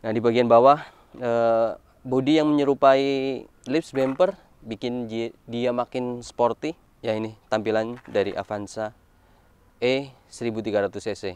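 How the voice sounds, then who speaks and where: Clear-voiced man presenting casually. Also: mid-range voice, fairly even intonation, unhurried, darkish tone. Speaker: car reviewer, presenter. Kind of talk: casual walkaround car review to camera.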